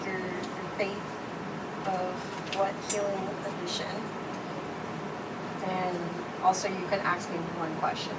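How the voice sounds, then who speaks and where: A woman's voice: fairly low pitch, level tone, slow, long pauses. A young woman with a New York accent hosts a casual chat with a makeup artist over a card game, full of fillers and laughter. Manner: chatty, laughs often, fillers like "like". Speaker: host interviewing a makeup artist. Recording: distant mic with clear background noise.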